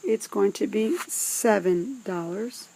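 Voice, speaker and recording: A woman teaching math step by step, speaking in a deliberate, instructive way with pauses between phrases. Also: mid-range voice, vocal fry, mellow, slight background noise, low-quality recording. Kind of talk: scripted step-by-step instructional narration.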